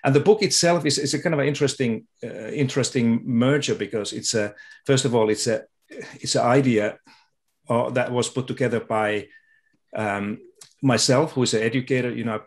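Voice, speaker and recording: A middle-aged man from Finland with a Finnish accent, speaking as a guest on a video call, thoughtful and halting with frequent restarts. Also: mid-range voice. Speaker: guest education researcher. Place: home room with sofa and guitar. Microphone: video call webcam.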